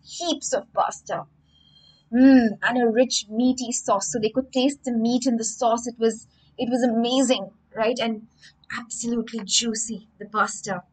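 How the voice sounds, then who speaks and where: Expressive Indian English woman storyteller. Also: fairly high pitch, poor audio. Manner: expressive, animated hand gestures, explains words as she goes. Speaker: storytelling teacher. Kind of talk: reading a story aloud and explaining it to children. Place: room with bookshelves.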